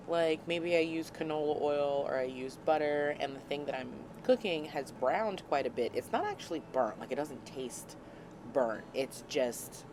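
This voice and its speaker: A woman hosting a casual cooking vlog from a small RV, slightly hoarse and scratchy from a cold, chatty and laughing at her own clumsiness. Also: low-pitched, a little mellow. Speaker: vlog host. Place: small RV kitchen. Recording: noticeable background noise.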